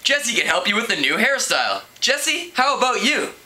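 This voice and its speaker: Guy doing a perky lady teacher from a kids book, super bright n lively, real encouraging. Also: sits high, brisk, pitched above the performer's own voice, heavily acted. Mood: chirpy, encouraging. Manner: enthusiastic, encouraging, calm. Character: upbeat, chirpy woman home economics teacher.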